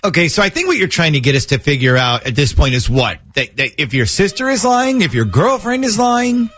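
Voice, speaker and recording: A man's voice: on the high side, a little fast, dry recording. A man hosting a morning radio phone-in, bantering in a jokey way in a studio. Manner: animated, jokey. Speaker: radio host. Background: music.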